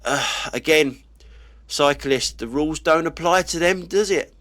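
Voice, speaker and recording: A man's voice: fairly high pitch, unhurried, a bit bright. Middle-aged British male vlogger, bunged up with a cold, blunt grumbling driving commentary from the driver's seat. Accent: British English. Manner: blunt and grumbling, swears casually. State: stuffy nose from a cold. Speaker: dash cam vlogger. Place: inside his car while driving.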